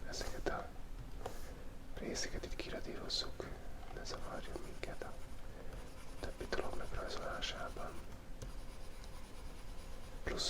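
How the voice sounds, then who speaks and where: A soft, airy whisper that barely rises or falls, with hardly any melody in it. The speaker talks to themself while sketching, musing in a relaxed and playful way. Every word is whispered without voice. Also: very high voice. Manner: thinking aloud, relaxed and playful; soft unvoiced whisper throughout. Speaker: ASMR artist drawing a goblin. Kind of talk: whispered ASMR drawing commentary.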